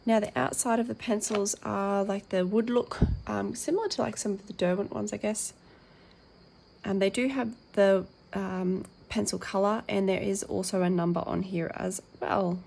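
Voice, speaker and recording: A woman with a croaky, worn-out voice talks casually through an unboxing at her table, filling pauses with ums and reading labels aloud. Her tone has a gravelly edge, with a faint hiss of background noise. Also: mid-range voice, fairly even intonation, a little mellow. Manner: chatty with frequent ums, reads packaging aloud. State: croaky, tired voice. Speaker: colouring channel host.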